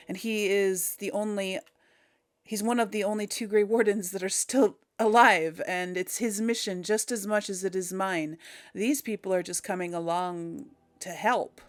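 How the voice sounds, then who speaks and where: A woman giving casual let's play commentary, chatting to her viewers and thinking aloud as she hosts. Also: mid-range voice. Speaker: host of a video game role-play series.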